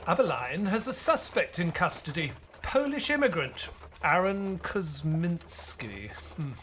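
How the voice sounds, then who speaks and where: An expressive, higher-voiced Victorian doctor. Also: male voice, slight background noise. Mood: curious, puzzled. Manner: formal, measured storytelling. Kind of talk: audio drama acting and scripted narration.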